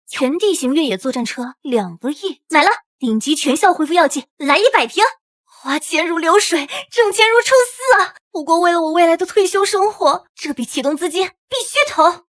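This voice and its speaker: Casting: decisive, money-minded young princess for animated drama, high clear-cut voice, very dry close sound. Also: female voice, normal speed, no echo. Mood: gleeful. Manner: commanding, self-assured.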